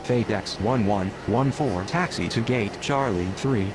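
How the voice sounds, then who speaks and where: Over a clearly noisy background with vehicle rumble, an actor plays a businesslike man on airport radio. His voice is on the low side, and his delivery is procedural and formulaic. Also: measured pace, lightly acted. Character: businesslike male pilot and controller on airport radio. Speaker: air traffic radio voice. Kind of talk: scripted aviation radio phraseology.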